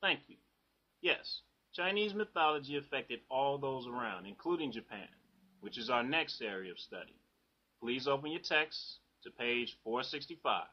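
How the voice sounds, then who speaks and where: A man playing a stern, sarcastic high school teacher in an amateur audio drama, dry and formal. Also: lively intonation, normal speed, lo-fi sound, lightly acted. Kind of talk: amateur radio drama acting.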